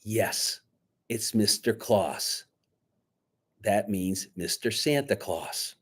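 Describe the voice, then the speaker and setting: A man reading a picture book aloud dramatically to children, pausing to coax them with questions like a teacher. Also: fairly low pitch, lively intonation. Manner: dramatic, teacherly, coaxing young listeners with questions.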